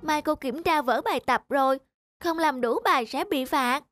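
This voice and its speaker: A woman voicing an anxious, eager young schoolboy in an animated cartoon, with an earnest, childlike delivery. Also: high-pitched, moderate pace, dry recording, clean studio sound, soft background music.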